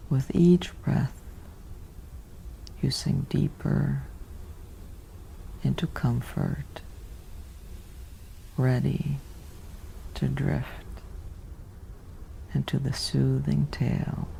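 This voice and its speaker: A woman softly guiding a sleep meditation in a hushed, half-whispered, soothing delivery with long pauses. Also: rumbling low, little pitch movement, creaky, a little hiss or hum, rough recording. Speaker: narrator of sleep stories and guided meditations. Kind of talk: slow guided sleep meditation, soothing bedtime reading.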